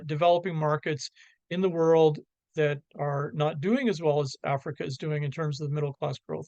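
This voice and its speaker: A man with a Canadian accent giving a slide presentation, halting with many fillers and restarts. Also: on the high side, no room sound.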